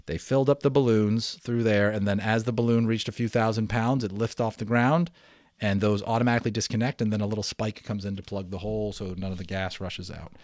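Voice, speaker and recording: A man narrating a children's novel in a dramatic, emphatic read-aloud style, sometimes dropping softer to explain things to listeners. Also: on the low side, a little fast, dry recording, studio quality. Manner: expressive, emphatic, with hushed drops.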